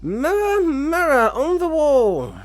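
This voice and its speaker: A man's voice: very high voice, wildly swooping pitch, takes it slowly, pitched above the performer's own voice, exaggerated voice. A man voicing a vain, evil storybook queen with a theatrical, demanding manner. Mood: imperious, demanding.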